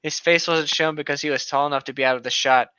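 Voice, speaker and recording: A man's voice: fairly high pitch, steady tone, quick pace, pushed and bright, clear, resonant tone, no echo, highs cut off. A man co-hosting, reading a story aloud with casual, sweary commentary and stopping to correct himself. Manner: sweary, self-correcting reader. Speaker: co-host.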